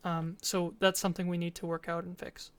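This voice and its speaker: A woman's voice: fairly low pitch, flat delivery, brisk, no room sound. A woman giving an informal, matter-of-fact presentation as a student, explaining and narrating a game demo.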